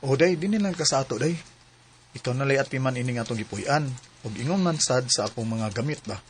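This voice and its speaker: A man's voice: mid-range voice, expressive, brisk, a little hiss or hum, lightly acted. An actor with a Cebuano accent playing an earnest young working man in love in a radio drama. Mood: gentle, persuading. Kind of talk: radio drama acting and narration.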